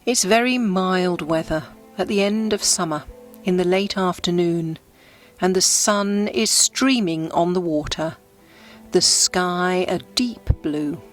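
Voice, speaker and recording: A woman with a slightly low voice leads a guided meditation. She reads her scripted scene gently and without hurry, painting it like a storyteller, with faint music playing behind her. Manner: gentle, unhurried, descriptive storytelling. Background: background music.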